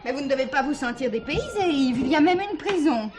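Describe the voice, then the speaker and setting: A woman's voice: high-pitched, brisk. An actress playing a cheerful, teasing, chatty woman in a French radio drama. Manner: teasing, animated. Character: cheerful, chatty divorced woman, a saloon regular.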